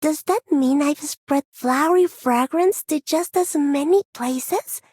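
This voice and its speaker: A modest young girl in a video game, eager to please. Her voice is sweet and high, unhurried, and recorded very dry. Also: darkish tone, dry recording. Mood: cheerful, modest.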